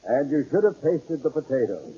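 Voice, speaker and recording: A hearty, needling older gentleman in a vintage radio comedy. His voice is very husky and mellow, with big swings in pitch. The sound is dull and old. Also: very animated, moderate pace, full character voice. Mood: relishing. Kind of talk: 1940s radio sitcom acting.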